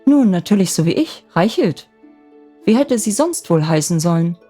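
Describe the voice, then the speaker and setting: A woman voicing a devoted, excitable young housekeeper in a storybook fairy tale, speaking eagerly and emphatically. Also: fairly low pitch, moderate pace. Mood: surprised, earnest. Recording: music bed under the voice.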